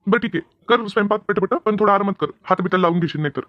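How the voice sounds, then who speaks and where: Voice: mid-range voice, very fast, no echo, close to an everyday voice. An actor voicing an easygoing, caring young husband in a cartoon family drama, casual and mildly teasing, with muffled sound. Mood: casual, concerned.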